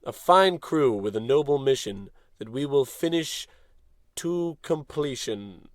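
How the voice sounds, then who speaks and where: An actor playing a pompous, gung-ho American space captain in a parody of old radio adventure serials, declaiming orders with heroic self-importance. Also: male voice, medium pitch, expressive, slow, dry recording, clean studio sound. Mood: pompous command.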